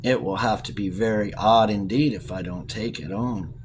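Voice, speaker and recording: A man's voice: on the low side, normal speed, slight background noise, close to an everyday voice. A man voicing a plucky, hard-up young woman in an audiobook, chatty and cheerfully wry. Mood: wry, sheepish.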